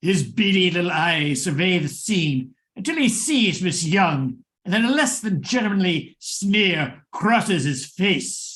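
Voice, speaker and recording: Man playing a high-pitched theatrical radio host. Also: animated, fairly bright tone. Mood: suspenseful. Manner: theatrical, deliberate. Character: theatrical male radio mystery host and narrator. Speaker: announcer. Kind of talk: old-time radio scripted narration.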